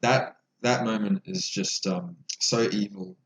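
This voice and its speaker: A man's voice: on the low side, unhurried. A man co-hosting a podcast, talking casually about films. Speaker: podcast co-host. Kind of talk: casual film discussion. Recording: echoey room with clear background noise.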